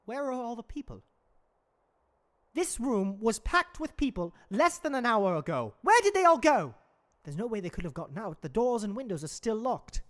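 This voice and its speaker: Actor as animated, bossy gang leader. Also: mid-range voice. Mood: excited. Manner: commanding, excitable. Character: bossy, impatient, obsessive leader of an eccentric gang. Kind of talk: comedic audio drama acting.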